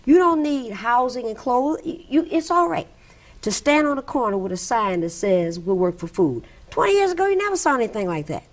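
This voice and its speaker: A woman's voice: a little high, very animated, fairly quick. A woman organizer speaking emphatically in an interview, building her point with rhetorical questions. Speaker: welfare rights organizer. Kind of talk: interview testimony. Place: dark studio, indoors.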